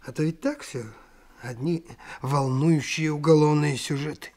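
An actor playing a cornered, evasive crime boss in a radio drama, husky and sardonic, stalling as he speaks. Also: on the high side, fairly even intonation, unhurried, mellow, slight background noise. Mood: defiant, sardonic. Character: cornered criminal boss under interrogation, evasive and defiant. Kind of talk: Soviet-style radio drama acting.

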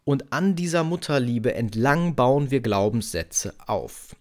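A man talks as a relationship coach, frank and casual. He speaks straight to the listener at an ordinary middle pitch. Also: expressive, a little slow, a little mellow. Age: 30s. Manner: candid, direct, addresses the listener as du. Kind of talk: casual monologue to camera.

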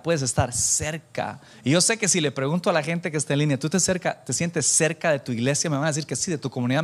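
A man preaching informally to a church congregation, animated and self-deprecating, bantering with listeners and dropping in English phrases. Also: on the high side. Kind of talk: informal conversational sermon with anecdotes.

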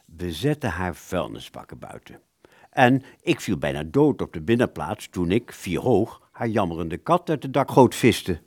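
A man's voice: deep voice, very animated, moderate pace, darkish tone, no room sound, clean studio sound. An actor playing a roguish, self-satisfied clarinettist, telling his own story theatrically in a radio play. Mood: bantering.

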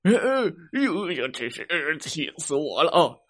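A grumpy bear teacher who lectures with slow, heavy deliberation, as a man puts him on for a children's storybook. He sighs and scolds his slow pupils, his exasperation never far away. The delivery stays at a measured, unhurried pace. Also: mid-range voice, expressive. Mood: angry.

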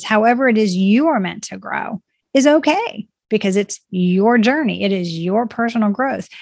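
A woman's voice: a little high, no echo, clean studio sound. Earnest woman talking about self-growth. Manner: earnest, encouraging, speaks in positive affirmations. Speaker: guest speaking on self-growth. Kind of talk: reflective conversation.

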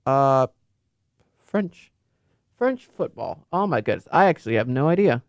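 A man's voice: medium pitch, very animated, unhurried, dry recording, highs cut off. A young man in casual, unscripted commentary, rambling and correcting himself with many fillers. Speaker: football video host.

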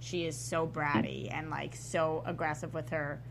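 Young woman, low and creaky. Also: little pitch movement, measured pace. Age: 20s. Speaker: actor on a panel. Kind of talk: casual discussion. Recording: humming background noise.

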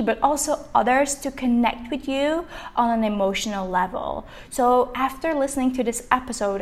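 Chatty woman hosting a podcast. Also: fairly high pitch. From Belgium. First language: Dutch. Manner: warm, chatty and encouraging. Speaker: podcast host, business coach. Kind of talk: solo podcast monologue.

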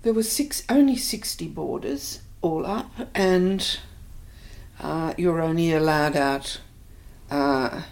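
An older woman with an Australian accent, thoughtfully recalling her life in an interview, pausing often. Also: low-pitched, creaky, darkish tone. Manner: reflective, hesitant with many fillers. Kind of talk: oral history interview.

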